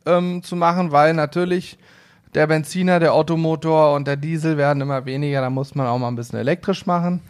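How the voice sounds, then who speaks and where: A man's voice: on the high side, fairly even intonation, brisk, clear, resonant tone. Chatty man co-hosting a podcast. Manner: chatty, easygoing, lots of fillers. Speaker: podcast co-host. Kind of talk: casual podcast chat.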